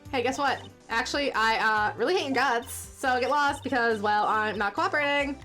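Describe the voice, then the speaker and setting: A woman's voice: fairly high pitch, normal speed, bright, forceful tone, soft background music. A woman voicing a sassy, spiteful young female witness with a snide, mocking manner while reading game dialogue aloud. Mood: scornful.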